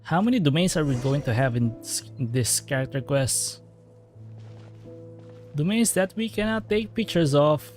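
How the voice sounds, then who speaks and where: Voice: mid-range voice, animated, moderate pace, darkish tone, faint music behind. A game streamer casually commenting on a story quest, musing and reacting aloud as they play.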